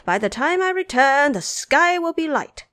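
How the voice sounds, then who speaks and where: A cocky monkey king, played by a woman in a storybook tale, teasing and bossing his companions around. His voice swings up high and wide, and the sound is dry and close. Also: normal speed, dry recording. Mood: lively boastful. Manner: teasing, lively, bossy. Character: mischievous boastful male monkey king.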